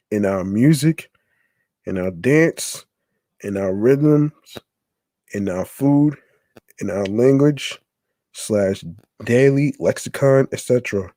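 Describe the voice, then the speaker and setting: A man hosting a podcast, reading a text aloud haltingly, stumbling and restarting on words. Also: mid-range voice, lively intonation, dark tone, no echo. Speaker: podcast host.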